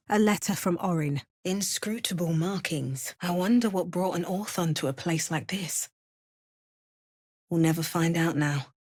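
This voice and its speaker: An actress playing a watchful, wry and resolute female fantasy adventurer in a video game, with a measured, theatrical delivery. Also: on the low side, husky, no room sound, clean studio sound. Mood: observant, wry. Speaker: voice actor for a player character.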